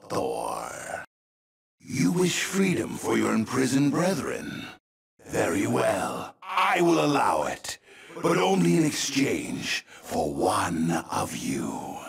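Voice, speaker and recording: A man playing a menacing, gloating demon lord in a video game, with a husky, gravelly voice and a grandiose, commanding, theatrical delivery. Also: mid-range voice, a bit bright, exaggerated voice. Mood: gloating menace. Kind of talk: video game villain acting.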